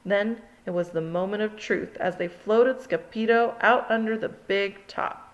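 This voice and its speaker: Woman reading a picture book aloud, sing-song, slow, dull lo-fi recording. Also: mid-range voice. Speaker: storybook reader. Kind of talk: children's read-along narration.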